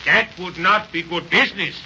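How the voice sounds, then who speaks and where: An actor voicing an anxious, courteous, formal frontier mill owner in 1930s radio style. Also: male voice, high-pitched, normal speed, close to an everyday voice. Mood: pleading, courteous. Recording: muffled, hissy 1930s radio recording.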